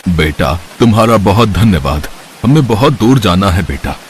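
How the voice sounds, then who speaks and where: A man voicing a gentle, fatherly old wandering holy man in an animated story. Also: on the low side, moderate pace, slight background noise, lightly acted.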